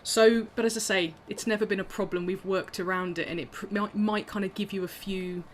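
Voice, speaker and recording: A woman's voice: medium pitch, fairly even intonation, a little fast, slight background noise. Chatty woman musician on a video call. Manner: chatty, self-deprecating, frequent ums and laughs. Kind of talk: casual instrument demonstration on a video call.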